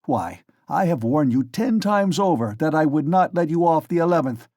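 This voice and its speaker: A man voicing an enraged, pompous police official who shouts and blusters. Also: on the high side, big pitch swings, normal speed, no echo, clean studio sound. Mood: furious.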